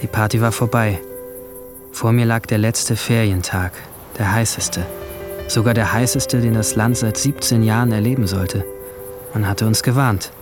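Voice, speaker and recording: Man as a low-voiced, brooding teenage boy. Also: fairly even intonation, moderate pace, music in the background, lightly acted. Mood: tense, detached. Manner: hushed, introspective, at times whispering. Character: brooding, alienated seventeen-year-old boy narrating his guilt. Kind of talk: first-person radio drama narration.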